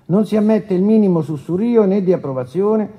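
An actor playing a formal, testy, officious presiding judge in a courtroom radio drama. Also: male voice, sits high, lively intonation, dull audio. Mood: stern. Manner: formal and officious, with halting hesitations.

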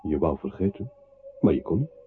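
A mocking radio-drama tormentor with a very deep voice that swings wildly in pitch. His phrases are terse and theatrical, on a thin, tinny recording. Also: big pitch swings, moderate pace. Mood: dark, insistent. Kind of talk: radio play, terse interjections. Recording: tinny, narrow old radio recording with hiss.

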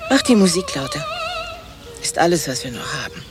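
Radio drama casting: sardonic older wife, extremely varied intonation, bitter and theatrical, music underneath. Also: female voice, on the low side, wildly swooping pitch, brisk. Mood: bitter, mocking. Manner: sardonic, theatrical, sharp retorts. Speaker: actress in a radio play. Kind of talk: radio play acting. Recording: studio radio play with music behind.

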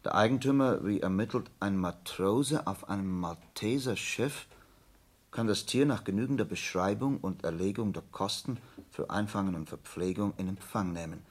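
The detective's sidekick in a radio play, deep husky voice, attentive and a bit doubting. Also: male voice, normal speed. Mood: reading aloud. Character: detective's attentive, sceptical male friend and confidant. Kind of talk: German radio play acting.

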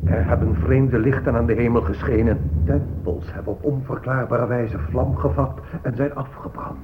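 An actor playing an ominous Aztec priest and soothsayer, speaking in portentous radio drama style. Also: male voice, medium pitch, steady tone, normal speed, lightly acted. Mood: foreboding. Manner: portentous, declamatory. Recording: phone-like old radio recording, faint noise.

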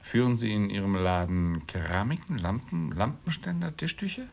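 An actor playing a blunt, nagging middle-class husband in a radio drama, with a gravelly, husky voice and a needling manner. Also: male voice, fairly low pitch, lively intonation, moderate pace, darkish tone.

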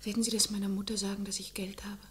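A woman's voice: medium pitch, level tone, fast, slight background noise, close to an everyday voice. An actress playing a bitter, defiant young woman under questioning, terse and sullen, in a German radio crime drama. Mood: guarded.

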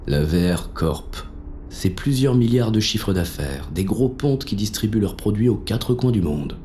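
A man playing a jaded, cynical police inspector who narrates a noir audio drama in a gruff, colloquial, sardonic way. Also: lowish voice, expressive, measured pace, warm tone, slight background noise. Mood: wry. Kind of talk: first-person noir audio fiction narration.

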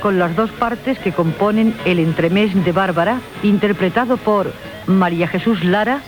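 Female announcer for formal radio credits, medium pitch, old broadcast sound with prominent music underneath. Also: normal speed. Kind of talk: formal scripted cast announcement.

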